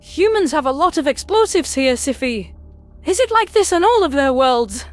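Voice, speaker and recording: A man voicing a small, chirpy alien rodent, timid but defiant, in a squeaky, thin voice for a sci-fi audio drama. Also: very animated, normal speed, faint music behind. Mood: anxious, curious.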